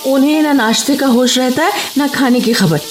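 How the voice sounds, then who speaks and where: An actress playing a talkative, friendly, lonely housewife in an expressive, coaxing manner in a Hindi radio play. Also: female voice, high-pitched, normal speed, lightly acted. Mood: chatty, plaintive. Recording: roomy studio radio play with music.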